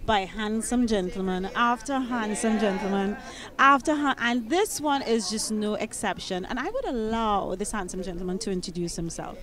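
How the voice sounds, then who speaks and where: A woman's voice: mid-range voice, lively intonation, moderate pace. A young woman hosting a red carpet interview, playful and gushing, speaking into a handheld mic outdoors. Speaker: entertainment interviewer. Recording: outdoor event with crowd noise. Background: crowd chatter.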